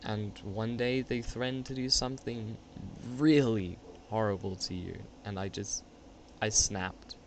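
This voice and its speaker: A man's voice: fairly low pitch, measured pace, few pauses. A man playing a pleading, stammering young woman, noisy recording. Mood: confessing, shaken. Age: late twenties. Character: frightened, guilt-ridden young woman confessing to her friend. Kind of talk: amateur radio play acting.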